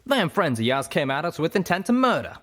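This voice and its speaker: Man doing a high drawling cowboy pony gunslinger. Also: very animated, fairly bright tone. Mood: defiant. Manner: slow, defiant, muffled through clenched teeth.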